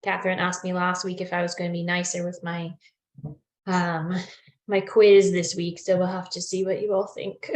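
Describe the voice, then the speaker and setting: A woman's voice: fairly low pitch, level tone, normal speed. A young woman presenting a bird identification lesson on a video call, friendly and explanatory with frequent ums, slightly losing her voice. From Newfoundland. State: losing her voice, clearing throat. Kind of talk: online webinar lesson. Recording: echoey room on a video call.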